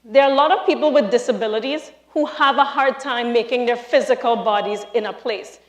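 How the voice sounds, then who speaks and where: A woman from Trinidad with a Trinidadian accent, a lecturer giving a lively, emphatic live talk to an audience in a large hall. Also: fairly high pitch, a little fast. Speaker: speaker, university lecturer.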